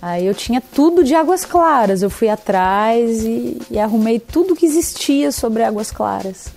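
A woman from Brazil recounts her past in an easy, conversational way, leaping up in pitch as she replays her own reactions. Her voice sits on the higher side with a mellow, darker tone. Faint music plays beneath her. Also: animated, a little slow, vocal fry. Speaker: interviewee telling her story. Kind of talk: casual storytelling.

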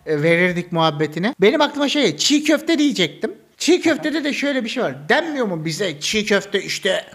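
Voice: medium pitch, sing-song, moderate pace, a bit bright. A podcast co-host, chatting casually and humorously and explaining things with rhetorical questions.

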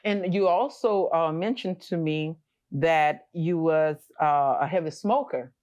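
A woman's voice: sits low, a little slow, darkish tone. Affirming woman host, dull lo-fi sound. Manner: affirming, many short backchannels. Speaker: herbal remedy seller hosting the interview. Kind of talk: casual interview chat.

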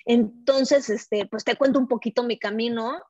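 A woman's voice: a little high, fairly even intonation, moderate pace, darkish tone. A woman with a Mexican accent, telling personal stories casually and expressively as a podcast guest. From Mexico City, Mexico. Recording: dull lo-fi microphone sound.

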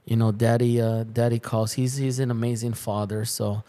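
A man's voice: mid-range voice, flat delivery, normal speed, dark tone. A man co-hosting a podcast, talking in loose, repetitive bilingual banter. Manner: rambling, repeats words, mixes in Spanish. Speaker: podcast co-host.

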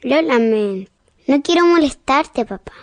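High-voiced, solemn little girl. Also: lively intonation, a little slow, dry recording. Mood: subdued, sincere. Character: blind seven-year-old girl, serious, mature, disillusioned daughter. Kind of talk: radio drama acting.

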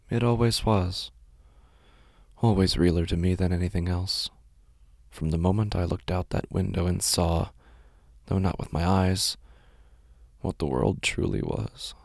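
A man playing an unhinged narrator in a first-person horror audio drama, speaking in a halting, intense, dramatic way and repeating phrases. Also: low voice, gravelly, a little mellow, slightly rough, no room sound, studio quality, heavily acted. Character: unhinged man narrating his horrifying loss of sight.

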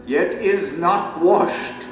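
Slow, grave sage in echo. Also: male voice, high voice. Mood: grave, earnest. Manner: measured, preacherly, earnest. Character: grave male sage, teacher of ancient writings. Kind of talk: solemn recitation in audio drama. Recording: echoey hall, music behind.

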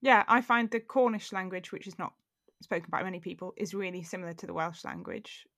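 A woman's voice: on the low side, brisk, fairly bright tone, dry recording, clean studio sound. Rambling woman podcast co-host. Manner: rambling, reflective. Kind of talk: casual podcast chat.

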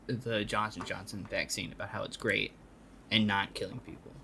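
Bright-voiced man hosting a podcast. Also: fairly low pitch, steady tone, moderate pace, gravelly, a little hiss or hum. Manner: playful, interviewer-like, laughs easily. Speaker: podcast host. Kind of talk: casual comedy chat.

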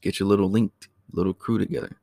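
Man, raspy and gravelly, low-pitched, chatty solo host who keeps clearing his throat. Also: flat delivery, fast, mellow, no echo, studio quality. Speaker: podcast host. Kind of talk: casual solo talk.

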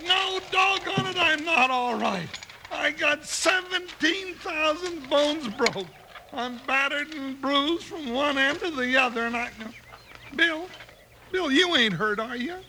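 An actor playing an excitable, folksy old cowboy sidekick in a 1950s radio western, with a blustering drawl. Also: male voice, slow pace, full character voice. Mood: aggrieved, alarmed. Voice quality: gravelly, high-pitched. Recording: noisy old radio recording.

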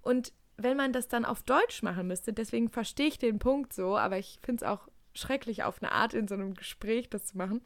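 A woman's voice: fairly high pitch, fairly quick, hardly any pauses, no echo. A young woman co-hosting a podcast, talking casually and thinking aloud with fillers and restarts. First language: German. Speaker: podcast co-host. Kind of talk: casual chat.

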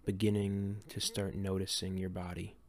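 A man leading a guided meditation in a gentle, calm, unhurried way with long pauses between phrases. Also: sits low. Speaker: meditation guide and series host.

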